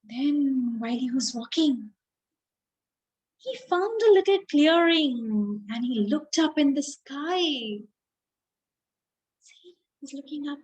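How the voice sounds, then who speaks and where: Female children's storyteller, high voice, extremely unhurried, expressive read-aloud with dramatic pauses. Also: lo-fi sound. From India. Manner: dramatic pauses, repeats phrases for young listeners. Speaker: storyteller reading a picture book aloud.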